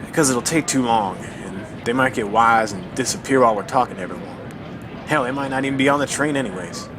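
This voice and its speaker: Man playing cautious train-bound amateur detective, folksy, measured, average male pitch, audio drama, clear rumble behind. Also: natural voice. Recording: clear vehicle-like background rumble.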